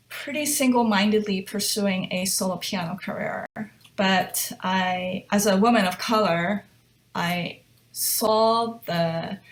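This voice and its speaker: A middle-aged woman from Japan speaks as a guest pianist in an interview, her voice sitting at an ordinary middle pitch. She tells her story reflectively and breaks into light laughs between thoughts. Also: rough recording.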